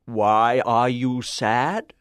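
Woman playing old male wizard, solemn, stern, knowing, slow delivery, lowered pitch, storybook reading. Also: steady tone, no room sound, clean studio sound, full character voice. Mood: grave, solemn. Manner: slow, grave, pronouncing. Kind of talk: storybook character voice.

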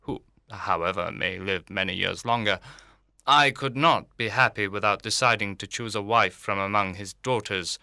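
A man's voice: fairly low pitch, moderate pace, vocal fry, sharp tone, no room sound, clean studio sound, exaggerated voice. A man playing a pompous, self-satisfied clergyman in a literary audiobook, speaking in formal, long-winded sentences. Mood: self-important. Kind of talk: dramatised literary audiobook reading.

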